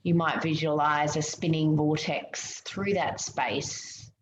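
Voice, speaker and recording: A woman leading a guided meditation in a soothing, gentle way, giving unhurried instructions with pauses. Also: low voice, fairly even intonation. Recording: muffled, roomy, noticeable background hiss.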